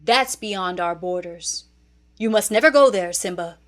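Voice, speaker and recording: A woman's voice: mid-range voice, measured pace, close to an everyday voice. A woman voicing a solemn, protective male lion king and father in a storybook. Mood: stern warning.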